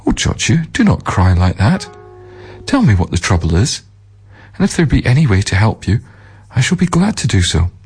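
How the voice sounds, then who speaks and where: A man voicing a kind old merchant who pleads gently and then gasps in terror. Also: fairly low pitch, wildly swooping pitch, normal speed, breathy edge, faint music behind, heavily acted. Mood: pleading. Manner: pleading, breathless and panicky.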